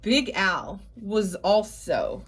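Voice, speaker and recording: Slow-paced woman reading a children's storybook. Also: mid-range voice, a little hiss or hum. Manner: expressive, drawn-out emphasis with chatty asides to young listeners. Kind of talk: storybook read-aloud for young children.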